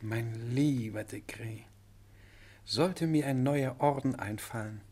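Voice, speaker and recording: A man's voice: mid-range voice, slow, a little mellow. An actor playing a pompous, thrifty king in a German radio play, grand and condescendingly jovial in manner. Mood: measured, confiding. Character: pompous, thrifty male monarch of a tiny state.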